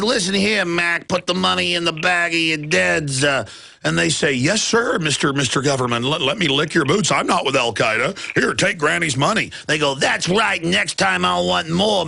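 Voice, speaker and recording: Gravelly sarcastic male radio host. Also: fairly high pitch, moderate pace, sharp tone, no echo, studio quality.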